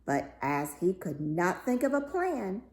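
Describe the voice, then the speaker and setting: Female fairy tale narrator, mellow and unhurried, expressive delivery, roomy sound. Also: fairly low pitch. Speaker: story reader.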